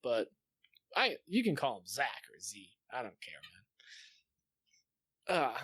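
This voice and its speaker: A man's voice: medium pitch, big pitch swings, takes it slowly, fairly bright tone, husky, no room sound. A man co-hosting a podcast, chatting casually and enthusiastically about games, with some swearing. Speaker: podcast co-host. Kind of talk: casual gaming chat.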